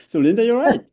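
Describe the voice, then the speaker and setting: Korean-accented man leading an online seminar. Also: very high-pitched. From South Korea. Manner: animated, laughs often, hesitant fillers. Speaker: seminar presenter. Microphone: video call.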